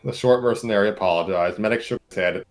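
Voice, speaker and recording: A man's voice: on the low side, fast, faint music behind. A man narrating a comic story aloud in an informal group setting, with casual asides.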